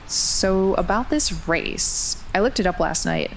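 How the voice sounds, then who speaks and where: A woman's voice: mid-range voice, huge pitch swings, slow pace, a little hiss or hum, full character voice, poor audio. A woman playing a determined, wary young runner in a naturalistic audio drama, with a dry, skeptical, conversational manner. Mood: guarded.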